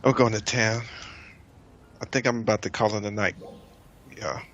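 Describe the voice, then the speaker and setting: A man casually chatting with a friend while gaming, giving relaxed, brief replies. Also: fairly low pitch, moderate pace, highs cut off, a little hiss or hum.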